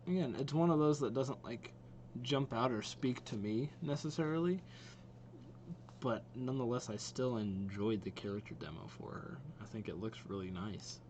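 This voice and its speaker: A man's voice: mid-range voice, normal speed, a little mellow, slight background noise. A young man hosting a reaction video with casual, off-the-cuff commentary.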